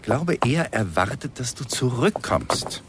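A placating, worried lover in a radio drama. He reasons hesitantly and coaxingly, with very mobile intonation at a middle pitch. Noise is clearly audible behind him. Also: sing-song, takes it slowly. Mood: worried, insistent.

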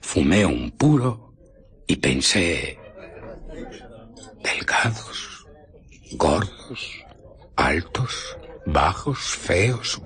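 A man with a Castilian Spanish accent playing an embittered, vengeful invisible scientist in a radio drama, brooding theatrically with pauses and breathy murmurs. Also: a bass voice, a bit bright, heavily acted.

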